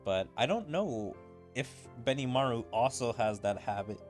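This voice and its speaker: A man's voice: mid-range voice, animated, soft background music. A young man streaming a game, chatting in an amused, casual way about what happens on screen. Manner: chatty, amused, quick laughs. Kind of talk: casual gameplay commentary.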